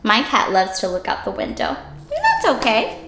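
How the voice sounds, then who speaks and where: A woman's voice: medium pitch, huge pitch swings, moderate pace, vocal fry, echoey room, noisy background. A woman teacher hosting an interactive read-aloud for young children, with exaggerated, playful, child-directed delivery. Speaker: teacher and story-time host for young children.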